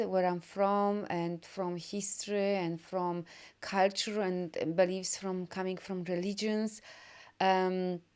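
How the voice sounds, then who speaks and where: A woman's voice: medium pitch, smooth. A woman from Poland, speaking English as a second language, giving an upbeat, rambling live session as an energy healer and coach, full of fillers and restarts. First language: Polish. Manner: upbeat and encouraging. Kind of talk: live video session reading viewer comments.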